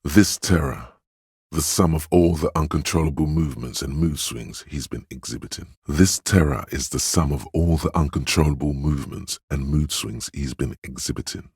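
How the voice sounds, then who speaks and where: An actor voicing a game's inner voice of empathy, a grave, compassionate narrator with a husky, gravelly voice and a measured, solemn, intimate delivery. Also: male voice, very deep voice, a little mellow, dry recording, studio quality, full character voice. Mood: grave, sorrowful. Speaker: game narrator. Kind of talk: scripted second-person game narration.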